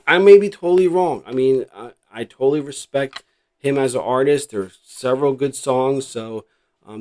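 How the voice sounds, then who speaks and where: A middle-aged American man with a mid-pitched voice hosts in a casual, unscripted way. He rambles reflectively, thinking out loud as he goes. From New Jersey, United States. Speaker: host showing a cassette collection. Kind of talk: casual unscripted vlog chat.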